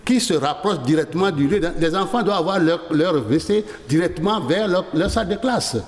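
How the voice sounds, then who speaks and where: A man's voice: high voice, animated, fairly quick, reverberant, in a large hall. A man being interviewed in a studio, arguing insistently and lecturing, with frequent restarts. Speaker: studio guest, sanitation advocate.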